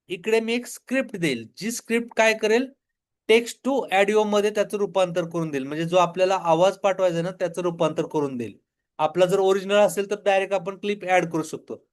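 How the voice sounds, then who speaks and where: Male tutorial instructor, Marathi accent, notably high voice, explains each step and asks then answers his own questions. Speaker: online business tutorial instructor. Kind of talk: step-by-step screen demo tutorial.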